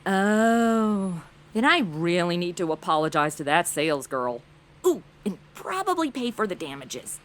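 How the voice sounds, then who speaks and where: A woman's voice: lowish voice, very animated, a little slow. An actress playing a brash, self-absorbed, ditzy young modern woman with sarcastic, slangy delivery in an audio comedy. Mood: sarcastic, breezy. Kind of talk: comedic audio drama acting.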